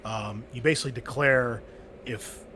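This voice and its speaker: A man acting as host and game master of a tabletop roleplaying game, explaining the scene in a relaxed way with many fillers. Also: medium pitch, moderate pace, slight background noise. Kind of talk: running a tabletop game session.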